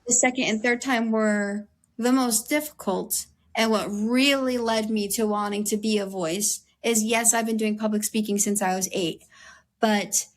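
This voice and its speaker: A young American woman, a guest in an interview, speaking candidly in an earnest, conversational way with a slightly raspy voice and many "like"s and "um"s. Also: mid-range voice, fairly even intonation, measured pace. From United States. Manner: earnest, heavy use of "like" and "um".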